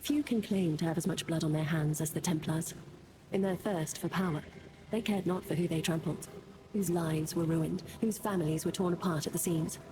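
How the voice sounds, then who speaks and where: A woman playing a grave, resolute survivor haunted by her past, speaking in a measured, deliberate way in a fantasy game. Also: sits low, fairly even intonation, noisy background, lightly acted. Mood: bitter, solemn. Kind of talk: scripted fantasy game narration. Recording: music under the voice. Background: game music.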